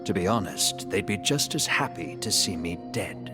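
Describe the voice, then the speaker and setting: A man playing an ancient, sorrowful dark elf king in a video game, sly and bitter, with a theatrical, teasing, measured delivery. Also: low voice, animated, music in the background. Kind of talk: video game cutscene acting.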